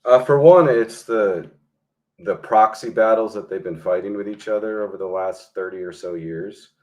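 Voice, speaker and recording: A man's voice: on the low side, normal speed, darkish tone. A man talking as a guest on a talk show, arguing bluntly and emphatically, swearing freely. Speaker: guest historian and filmmaker. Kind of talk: casual opinionated discussion.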